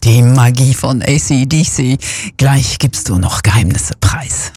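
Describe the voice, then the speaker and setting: A woman's voice: very deep voice, lively intonation, measured pace, background noise. A woman presenting on radio and interviewing a guest.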